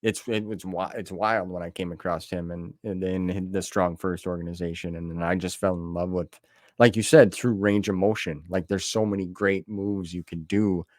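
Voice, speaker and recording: A man's voice: sits low, steady tone, moderate pace, no room sound, clean studio sound. A middle-aged man hosting a podcast interview in a casual, chatty style. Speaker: podcast host. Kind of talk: casual chat.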